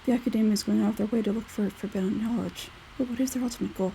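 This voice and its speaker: Woman playing a cool, mellow, aloof male scholar. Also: mid-range voice, fairly even intonation, vocal fry, slight background noise, natural voice. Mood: cool, disapproving. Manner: measured, detached, matter-of-fact. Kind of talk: video game character dialogue read aloud.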